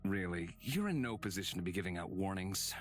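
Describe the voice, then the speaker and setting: Low, cryptic, taunting stranger. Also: male voice, animated, faint music behind. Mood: ominous, taunting. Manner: mocking, measured, ominous. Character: cryptic, taunting male stranger, shrewd and aloof. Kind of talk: visual novel voice acting.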